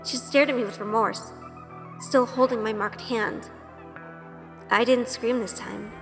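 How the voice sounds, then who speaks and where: A woman's voice: a little high, fairly even intonation, a little slow, a bit bright, long reverb tail. A woman playing a timid, sheltered young woman in an audio drama, hesitant and anxious. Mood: uneasy, reflective. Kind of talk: audio drama acting and first-person narration. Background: music.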